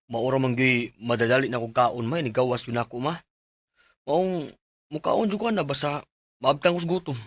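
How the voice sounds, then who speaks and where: A man's voice: medium pitch, normal speed, long pauses, dry recording, close to an everyday voice. Earnest young Cebuano man played by an actor. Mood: casual, polite. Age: young adult. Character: earnest young Cebu office worker with stomach trouble. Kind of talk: Filipino radio drama acting and letter narration.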